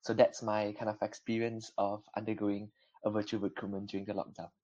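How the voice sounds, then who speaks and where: A man's voice: fairly low pitch, little pitch movement, fairly quick, mellow, dull audio. A young man from Malaysia, a student panelist answering hesitantly with many fillers in a webinar. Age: young adult. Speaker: panelist, final-year law student.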